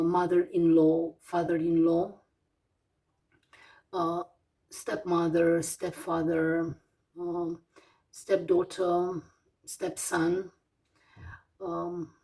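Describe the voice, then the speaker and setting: A Romanian woman in her forties with a Romanian accent, teaching English vocabulary slowly, with many fillers and pauses as she lists words one by one. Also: on the low side, creaky, a little mellow, far from the mic, rough recording. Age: 40s. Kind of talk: slow vocabulary lesson.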